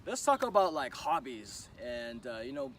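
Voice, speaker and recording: A man's voice: medium pitch, big pitch swings, moderate pace. A man hosting a casual interview, friendly and chatty, with frequent backchannels. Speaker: host. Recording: distant mic, loud traffic outdoors.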